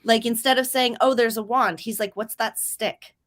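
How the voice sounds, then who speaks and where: A young woman hosting a writing stream in casual chat, telling stories lively and acting out quoted speech with big jumps in pitch. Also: normal speed.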